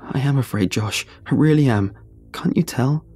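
A man's voice: medium pitch, moderate pace, a little mellow, husky, no echo, faint music behind, pitched above the performer's own voice. A man voicing a frightened, insecure, despairing male friend in a horror story, pleading with emotional outbursts. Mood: frightened, agitated. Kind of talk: dramatic horror story dialogue.

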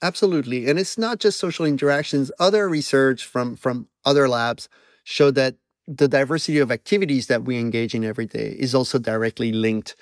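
A man's voice: mid-range voice, brisk, no echo. Belgian-accented male researcher, dry sound. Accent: Belgian French. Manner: thoughtful, self-deprecating, explains step by step. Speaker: emotion researcher guest. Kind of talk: conversational interview.

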